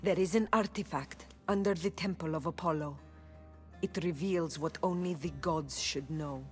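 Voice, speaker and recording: A woman playing a guarded, troubled oracle of ancient Greece in a video game, speaking solemnly and deliberately. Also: deep voice, soft background music, close to an everyday voice. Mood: grave. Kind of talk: dramatic video game acting.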